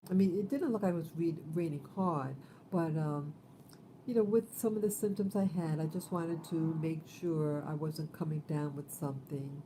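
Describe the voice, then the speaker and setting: A woman in her fifties hosting a casual livestream, talking in a rambling, halting way with many fillers. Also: low voice, warm tone, a little hiss or hum, poor audio. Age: 50s. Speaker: livestream host.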